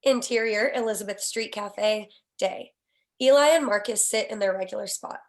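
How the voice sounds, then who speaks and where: A woman's voice: medium pitch, moderate pace. A woman narrating screenplay stage directions in a scripted read, with wry, sassy asides.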